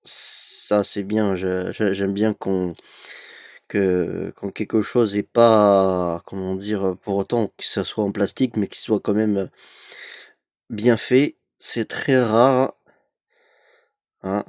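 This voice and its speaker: A man's voice: lowish voice, steady tone, takes it slowly, a little mellow, no echo, clean studio sound. A young man with a cold, chatting hesitantly with many fillers while opening an advent calendar for his collection vlog. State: has a cold. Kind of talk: casual unboxing vlog.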